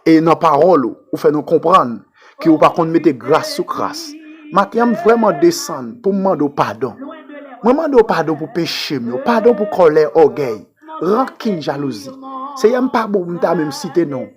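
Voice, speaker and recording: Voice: medium pitch, sing-song, moderate pace, crowd noise. Haitian prayer leader, fervent and swooping. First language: Haitian Creole. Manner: fervent, pleading, repetitive. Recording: music playing under the voice. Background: music.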